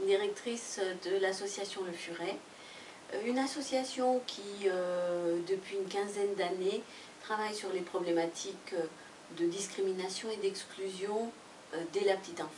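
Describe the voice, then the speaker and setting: A woman's voice: mid-range voice, fairly even intonation, normal speed, darkish tone, slight background noise. A woman whose first language is Spanish, presenting a book as director of an association, speaking hesitantly with many fillers and restarts. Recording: echoey room from far off.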